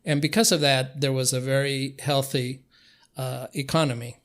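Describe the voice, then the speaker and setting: Older Cuban-born man, even-toned and halting. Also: mid-range voice. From Cuba. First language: Spanish. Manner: halting, hesitant phrasing with frequent pauses. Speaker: interview guest. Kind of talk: conversational reminiscence.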